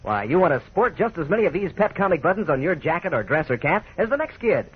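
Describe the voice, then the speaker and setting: American male announcer, fairly high husky voice, very animated, chummy, 1940s radio ad, tinny phone-like recording. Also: quick pace. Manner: chummy, enthusiastic. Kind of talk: folksy 1940s radio advertising pitch. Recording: thin, narrowband vintage radio recording.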